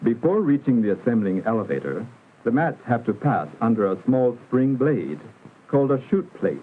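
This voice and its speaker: A man narrating a 1960s educational film in a formal, instructional manner with careful, measured enunciation. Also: mid-range voice. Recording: muffled vintage film soundtrack, narrow band, hiss.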